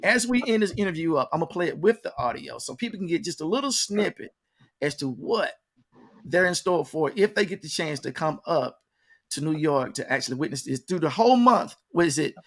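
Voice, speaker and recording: High-voiced man hosting a casual interview. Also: animated, brisk, vocal fry, fairly bright tone. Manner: repeats himself, addresses the audience directly. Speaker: interview show host.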